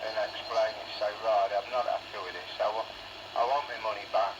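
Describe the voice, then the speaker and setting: A man's voice: fairly low pitch, fairly even intonation, a little fast. A British man speaks casually and directly over CB radio, with a matey manner. The sound is muffled and buried in heavy noise. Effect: CB radio transmission. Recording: dull, very noisy CB radio reception.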